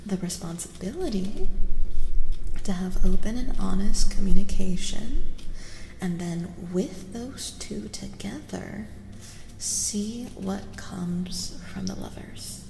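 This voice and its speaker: A woman giving a soft-spoken ASMR tarot reading, hushed and gentle, often slipping into whispers and softly repeating reassurances. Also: medium pitch, animated, takes it slowly, creaky, echoey room, long reverb tail, noisy background.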